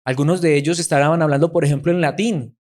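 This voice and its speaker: Animated preaching man, voice raised. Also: a little high, quick pace. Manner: preaching with dramatic quoted voices and rhetorical questions. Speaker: pastor. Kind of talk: sermon.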